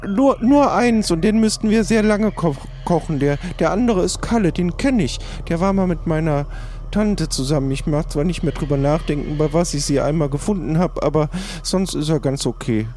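Young cannibal helper boy played by a man, high-pitched, earnest, chatty, tabletop game with light music behind. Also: moderate pace, a little mellow, close to an everyday voice. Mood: sheepish, chatty.

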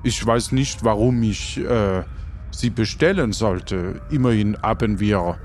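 A man playing a courteous, defensive French master chef in an improvised audio adventure, halting and searching for words. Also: fairly low pitch, darkish tone, a little hiss or hum. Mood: flustered, defensive.

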